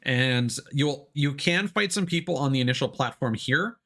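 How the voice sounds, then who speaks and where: Man live-streaming a game, lively pitch swings. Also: normal speed. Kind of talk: live let's play commentary, part reading game text aloud.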